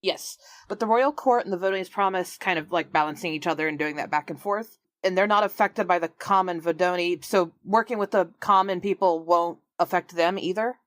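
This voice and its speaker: A woman's voice: lowish voice, a little fast, hardly any pauses, no echo. A woman player talking casually during a tabletop game.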